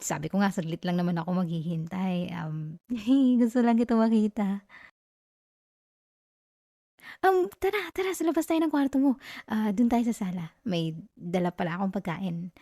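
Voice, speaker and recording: A woman's voice: medium pitch, moderate pace, mellow, dry recording, clean studio sound, lightly acted. Isang babaeng Pilipina ang gumaganap bilang batang girlfriend na estudyante sa ASMR roleplay, clingy, possessive at malambing na nanunukso at nakikiusap, may punto ng Pilipino. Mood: sweet, coaxing. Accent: Filipino. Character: clingy, possessive young student girlfriend, sweetly manipulative. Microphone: close microphone, dry sound.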